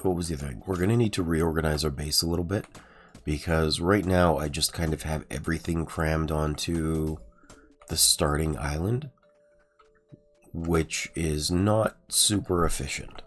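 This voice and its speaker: A man with an extremely deep voice talks his way through a video game stream, loose and unhurried. His throat is sore today, so the voice comes out raspy. Faint music plays underneath. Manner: relaxed, rambling, with frequent ums and uhs. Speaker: gaming streamer. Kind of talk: casual let's-play commentary.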